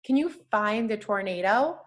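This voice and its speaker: A woman's voice: mid-range voice, expressive, moderate pace. A woman reading aloud to young children like a teacher, using simple, patient, encouraging language and asking questions as she goes. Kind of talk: interactive children's read-aloud with questions and praise.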